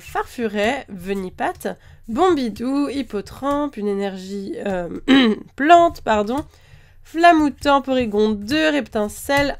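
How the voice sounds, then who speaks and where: A woman with a slight cold, chatting casually as she opens card packs and lists each one, with lots of hesitant fillers. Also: a little high. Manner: chatty, lists items one after another, frequent "euh" fillers. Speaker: card-opening video host. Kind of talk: casual chat while opening trading card packs.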